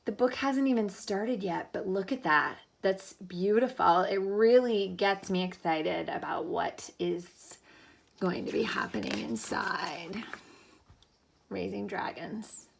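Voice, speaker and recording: Bright-voiced woman teacher reading aloud expressively. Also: mid-range voice, vocal fry. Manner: animated, dramatic emphasis with hushed drops; encouraging, checks understanding with questions. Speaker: elementary school teacher. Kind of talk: expressive picture-book read-aloud with teaching asides.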